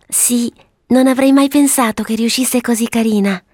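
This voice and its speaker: A woman voicing a sweet, eager, bossy little girl in a storybook, earnest and excitable as she gives instructions. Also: fairly high pitch, normal speed, dry recording, studio quality, pitched above the performer's own voice, close to an everyday voice. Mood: happily instructing. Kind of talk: storybook character voice.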